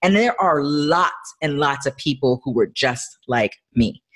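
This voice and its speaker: A woman's voice: sits low, animated, unhurried. A woman speaking as a podcast guest in a conversational teaching style, emphatic and repeating phrases for stress, often checking in with short tag questions. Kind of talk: conversational teaching on faith and business.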